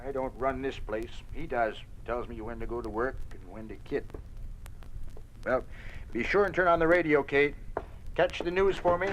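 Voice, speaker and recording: An actor playing a kindly, stubborn old farmer with a folksy, halting way of talking in an old-time radio drama. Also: male voice, mid-range voice, a bit bright, lightly acted. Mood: resigned. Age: older. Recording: muffled, noisy vintage radio recording.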